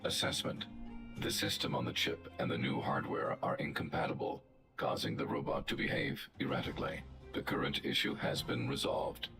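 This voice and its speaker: A man voicing a huge male guardian robot in a game, giving flat clipped status reports through a robotic filter. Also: moderate pace. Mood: clinical. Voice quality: deep gravelly machine voice. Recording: echoey processed game audio. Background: game music.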